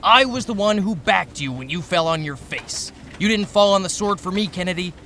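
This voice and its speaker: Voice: mid-range voice, animated, normal speed, bright, forceful tone, a little hiss or hum. An actor playing an exasperated, sarcastic veteran newspaper editor in a noir audio drama, long-winded and biting. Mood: indignant, impatient.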